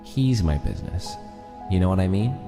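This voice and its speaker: A man's voice: deep voice, lively intonation, normal speed. An actor voicing a laid-back young male skeleton in a comic dub, guarded and protective, casual and hesitant. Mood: guarded, low-key. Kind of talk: dramatic comic dub acting. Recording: long reverb, clear music behind.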